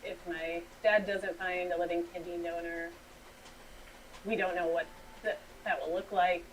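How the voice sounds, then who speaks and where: Lower-voiced woman in a noisy recording. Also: fairly even intonation, measured pace. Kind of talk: scripted testimonial appeal. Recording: distant, noisy played-back video.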